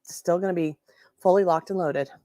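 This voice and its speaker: Hoarse woman hosting a crafting live. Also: on the low side, brisk, dark tone, no room sound, clean studio sound. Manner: sassy, chatty, self-correcting. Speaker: host. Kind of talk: casual livestream crafting tutorial.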